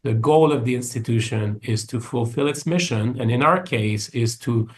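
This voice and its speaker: A man's voice: medium pitch, normal speed. Casting: French Canadian man, mid-range voice, interview guest who restarts often as he thinks. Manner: thoughtful, many restarts and fillers. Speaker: orchestra executive, guest. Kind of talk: conversational interview answers.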